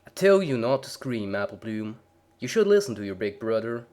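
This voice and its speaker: A man's voice: medium pitch, very animated, moderate pace, darkish tone, natural voice. Man as a placid, folksy big stallion. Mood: calm menace. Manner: placid, folksy, pleasant while threatening. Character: big placid male farm pony with quiet menace.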